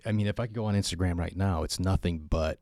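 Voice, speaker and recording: A man hosting a casual fitness podcast, who tells stories in a relaxed, self-deprecating way. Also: sits low, fast, gravelly, no echo, studio quality. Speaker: podcast host and fitness school instructor.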